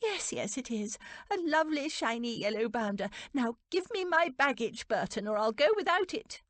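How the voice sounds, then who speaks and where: A woman's voice: high voice, sing-song, moderate pace, no echo, voice pushed up. A woman voicing an agitated, impatient old aristocratic English lady who wails and insists in distress, in a storybook reading. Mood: excited, urgent.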